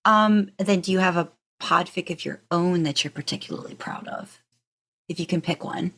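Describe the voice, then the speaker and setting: A woman's voice: on the low side, moderate pace, fairly bright tone, husky. A woman hosting a podcast, asking questions in a casual, informal and encouraging way. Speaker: podcast host interviewing a guest. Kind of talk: casual conversational interview.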